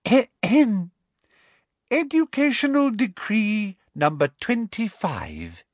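A man's voice: high voice, very animated, takes it slowly, dry recording, pitched above the performer's own voice, heavily acted. A man voicing a smug, falsely sweet older woman official with a British accent, simpering and fussily condescending, for an audiobook. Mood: self-important. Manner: simpering, fussy throat-clearing, condescending. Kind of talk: audiobook character voice.

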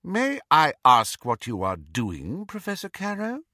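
A man's voice: on the high side, huge pitch swings, a little slow, bright, forceful tone, no echo, clean studio sound, voice pushed up, heavily acted. A man voicing a stern, dignified female professor of witchcraft, precise, formal and icily impatient, in an audiobook. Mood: politely pointed.

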